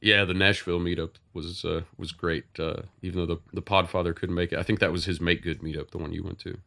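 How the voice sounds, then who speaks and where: A man with a low, deep voice hosts a podcast. He chats loosely and rambles, stopping and restarting his sentences often. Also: little pitch movement, fast talker, vocal fry, pushed and bright. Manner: rambling, with many fillers and restarts. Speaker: podcast host. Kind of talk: casual podcast chat.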